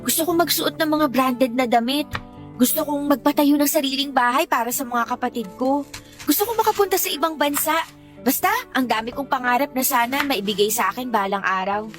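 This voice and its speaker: Radio drama role, female actor: wistful, put-upon young Filipino woman, conversational and self-mocking, higher register, light music underneath. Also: a little fast, natural voice. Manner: conversational, complaining, self-deprecating. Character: poor, wistful young working woman, long-suffering eldest daughter. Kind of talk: Tagalog radio drama acting. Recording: music bed behind the dialogue.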